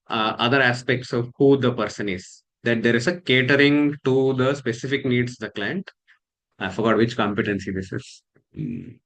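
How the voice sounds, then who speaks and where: A man from Karnataka with an Indian English accent, a coach talking casually to camera, measured and halting with many fillers. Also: mid-range voice, fairly bright tone, low-quality recording.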